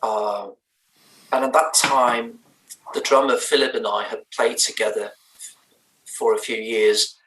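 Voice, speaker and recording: A man's voice: mid-range voice, fairly even intonation, a little slow, pauses often, a bit bright, echoey room, far from the mic, poor audio. A man with a Danish accent, a native Danish speaker, answering interview questions in a thoughtful, hesitant way with many fillers and restarts. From Denmark. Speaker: band's lead singer. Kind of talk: remote interview chat.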